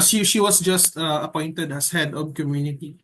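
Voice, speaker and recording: A young man with a Filipino accent and a fairly high voice speaks casually, as a guest in an interview. He is measured and hesitant, often pausing as he puts his thoughts together. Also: fairly bright tone. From Philippines. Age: young adult. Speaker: editorial cartoonist being interviewed.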